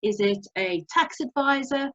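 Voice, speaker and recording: A woman's voice: high-pitched, lively intonation, a bit bright, muffled recording. South African woman giving a practical talk. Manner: brisk, instructive and practical. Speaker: presenter. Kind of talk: presentation talk.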